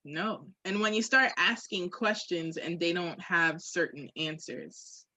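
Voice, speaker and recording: A woman's voice: lowish voice, a little slow, pushed and bright, dry recording, low-quality recording. A woman co-hosting a candid podcast conversation, speaking in a reflective, emphatic and informal way. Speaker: podcast co-host.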